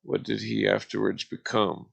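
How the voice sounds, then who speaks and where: A man reading aloud casually on a live stream, halting and stumbling over formal text, with muttered asides. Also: on the low side, completely flat delivery, creaky, dull audio.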